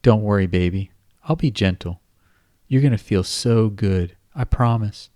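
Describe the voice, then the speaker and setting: A man voicing a grown, smooth-talking man who coaxes and soothes. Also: fairly low pitch, a little slow, mellow, no echo, clean studio sound, lightly acted. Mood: coaxing. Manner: coaxing, soothing.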